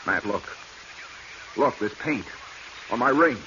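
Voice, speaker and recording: A man's voice: medium pitch, lively intonation, slow. A male actor playing an earnest, eager salvage partner with a folksy American manner and accent, in 1940s radio drama style. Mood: excited, persuasive. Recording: muffled, noisy vintage radio recording.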